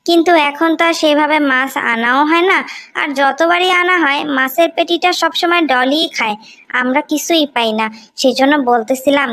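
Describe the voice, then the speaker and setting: Meek little sister for a cartoon moral tale, played by a woman: Bangladeshi accent, lightly high, wistful. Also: a bit bright. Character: meek, wistful young sister longing for a treat. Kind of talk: animated moral story dialogue.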